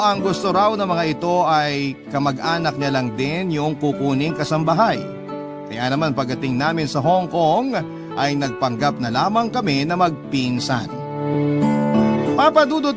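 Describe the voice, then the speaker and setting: A man narrating a letter as a radio love-story storyteller, in a measured, sentimental, dramatic way. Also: a little high.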